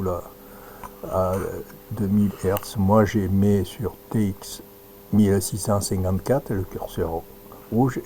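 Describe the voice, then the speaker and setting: A man giving an informal live demonstration as an amateur radio operator, halting and thinking aloud as he works. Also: sits low, warm tone. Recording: noisy recording with audible hum.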